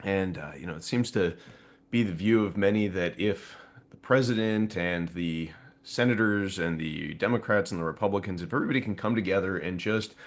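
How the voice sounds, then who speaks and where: A man with a hoarse, raspy voice hosting a live stream, talking through market news casually to viewers. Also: fairly low pitch. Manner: conversational, with frequent fillers and asides to viewers. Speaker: host of a precious metals live stream.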